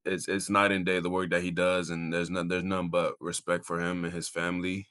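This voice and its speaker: A young man from Miami with a very low, bright and forceful voice gives a casual interview as a college athlete. He speaks earnestly and informally, circling back over phrases for emphasis. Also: flat delivery, fairly quick, clear, resonant tone. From Miami, Florida. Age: 20s. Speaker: college football player, interview guest.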